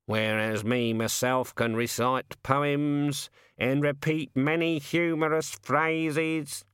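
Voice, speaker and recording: An aggrieved storybook raven, pitched up from a natural speaking voice and very close and dry. It grumbles in rough colloquial phrases, full of its own importance. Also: male voice, fairly even intonation, slow, fairly bright tone, no echo. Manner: rhetorical, colloquial, complaining.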